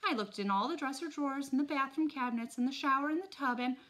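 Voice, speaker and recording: Lady doing a frazzled busy mom, a bit higher, rushing and fussing, storybook. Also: fairly bright tone. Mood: flustered. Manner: hurried, fretting. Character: busy mother, fussy and hurried. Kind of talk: storybook read-aloud.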